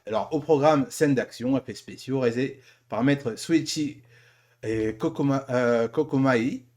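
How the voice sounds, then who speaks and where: A man's voice: mid-range voice, measured pace, a little mellow. Hesitant, informal male interviewer. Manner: informal, hesitant, stumbling over words and correcting himself. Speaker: interviewer and host. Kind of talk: casual podcast-style interview.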